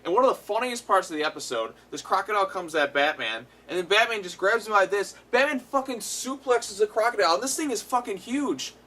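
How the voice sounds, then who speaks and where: A man's voice: sits high, animated, brisk, hardly any pauses, sharp tone. A man giving a casual, unscripted episode review as host, retelling it animatedly with frequent swearing. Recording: clear background noise.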